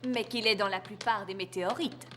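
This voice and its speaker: A woman's voice: mid-range voice, steady tone, brisk, a bit bright, natural voice. A woman playing an ambitious young science student in a cartoon, talkative and self-assured, with long reverb. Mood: confident. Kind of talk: dubbed cartoon acting.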